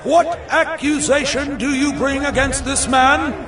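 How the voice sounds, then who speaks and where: An actor playing an exasperated Roman governor, questioning emphatically in a theatrical scripture drama. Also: male voice, extremely high pitch, fairly even intonation, a little slow, music in the background.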